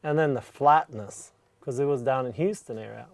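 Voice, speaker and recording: A man in his forties from Norway, telling stories in a casual conversation with a relaxed, rambling manner. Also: medium pitch, moderate pace, vocal fry, no room sound. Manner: relaxed, rambling storytelling with many "you know" asides. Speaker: interviewee.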